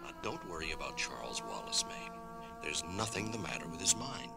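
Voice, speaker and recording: Gentle, low, gravelly father. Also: sing-song, a little fast, over loud music, exaggerated voice. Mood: tender, reassuring. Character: gentle, reassuring father of a young girl. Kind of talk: audio drama acting.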